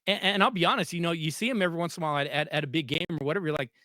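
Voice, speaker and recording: A man's voice: fairly high pitch, racing, sharp tone, no echo, studio quality. An American man hosting a conversational interview, speaking earnestly and emphatically and often repeating his phrases. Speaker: podcast host, former coach.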